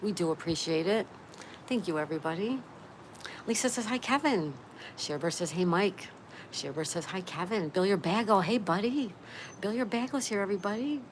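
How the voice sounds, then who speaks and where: A woman's voice: medium pitch, brisk, a little hiss or hum. A woman in her sixties hosting a casual livestream, chattily reading out comments and greeting viewers. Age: 60s. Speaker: livestream host.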